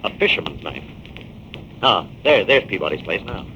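An actor playing a brisk, confident detective in a 1940s radio crime drama, questioning with purpose. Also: male voice, sits low, sing-song, sharp tone, heavily acted. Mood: purposeful. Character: brisk, confident male detective investigating murders. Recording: dull, hissy old radio recording.

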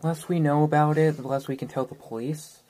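Very low-voiced woman, wry tabletop player. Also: steady tone, fast talker, dark tone. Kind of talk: casual chat during a tabletop game.

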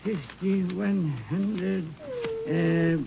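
An actor playing a stubborn, frightened ranch owner in an old radio western, folksy and pleading. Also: male voice, high-pitched, extremely slow, gravelly, exaggerated voice. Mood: irritated, uneasy. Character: frightened, stubborn small-ranch owner counting his money. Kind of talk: 1940s-50s radio western acting. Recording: dull old radio broadcast, noisy background.

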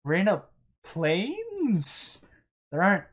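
A man's voice: high-pitched, huge pitch swings, slow, pitched above the performer's own voice, heavily acted. A man puts on a flustered male game character who maps the land. His voice rises in exasperated protest, hesitant but insistent.

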